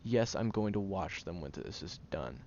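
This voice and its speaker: A low, gravelly young man acted by a man in an intimate audio roleplay, on a slightly dull recording with some noise. He is sarcastic and easily flustered, bickering gruffly while hiding that he cares. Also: animated, normal speed. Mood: flustered, indignant. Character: prickly, defensive young man, insulting but secretly caring. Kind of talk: audio roleplay addressed to the listener.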